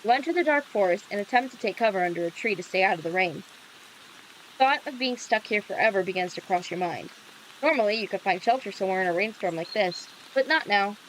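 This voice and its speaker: A woman's voice: medium pitch, animated, fast, slight background noise, rough recording. A woman livestream host reading a visual novel aloud, with playful casual reactions. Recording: background music under the voice. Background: music.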